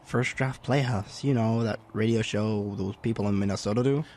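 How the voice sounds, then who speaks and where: An actor playing a hapless, evasive horror writer in a comic audio drama, hesitant and defensive. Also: male voice, fairly low pitch, steady tone, normal speed, darkish tone, a little hiss or hum, natural voice.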